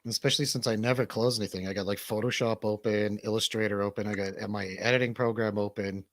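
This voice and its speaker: A man's voice: lowish voice, fairly even intonation, fast talker, a bit bright, no room sound. A man hosting a livestream, chatting casually in an informal, bantering way. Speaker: livestream host.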